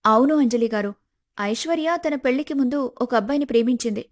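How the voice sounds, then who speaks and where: A woman voicing a polite, grateful young woman in an animated moral story, respectful and earnest. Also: fairly high pitch, fairly quick, close to an everyday voice. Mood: earnest, helpful.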